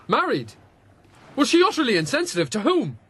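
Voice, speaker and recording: An actor playing a proud, excitable young gentleman adventurer in a radio drama. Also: very high voice, brisk, breathy edge, full character voice. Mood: shocked. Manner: haughty, excitable.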